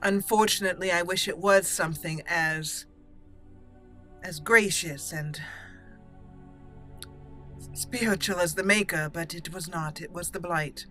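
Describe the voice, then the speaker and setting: A woman voicing an earnest elf mage commander in improvised game roleplay, with a formal, deliberate, halting manner. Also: lowish voice, steady tone, sharp tone, soft background music. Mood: solemn, urgent.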